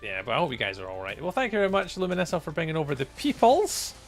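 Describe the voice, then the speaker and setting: A Scottish man in his thirties with a softened accent, rambling casually on a live gaming stream with many ums and restarts. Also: on the high side, very animated, fast, soft background music. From Scotland.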